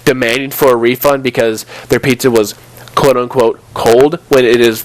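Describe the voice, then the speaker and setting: A man's voice: medium pitch, steady tone, moderate pace, dry recording, low-quality recording. A nineteen-year-old man telling stories casually while gaming, exasperated and acting out remembered exchanges. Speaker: gaming video host. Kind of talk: casual storytelling while playing a video game.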